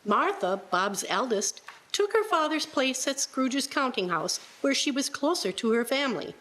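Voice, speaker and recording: A woman's voice: high-pitched, very animated, moderate pace, a bit bright. A woman reading scripted story narration for a live radio play.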